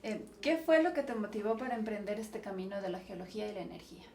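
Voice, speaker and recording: A woman's voice: medium pitch, animated, fast talker, creaky, darkish tone. A woman interviewing a guest, framing long, explanatory questions and adding her own comments. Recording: roomy, distant mic, faint noise.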